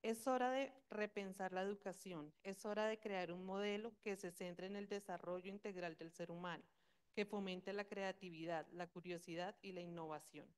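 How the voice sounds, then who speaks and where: A woman presenting at a conference, reading a formal talk in a measured, steady way with rhetorical questions. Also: mid-range voice, smooth. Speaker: conference speaker.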